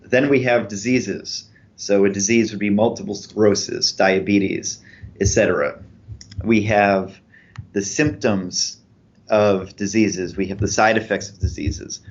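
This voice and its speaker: A man being interviewed about his research explains it step by step, giving examples. His voice sits fairly low. The podcast audio is muffled and lo-fi. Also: fairly even intonation, measured pace. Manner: clear, methodical explaining with examples. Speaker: researcher guest.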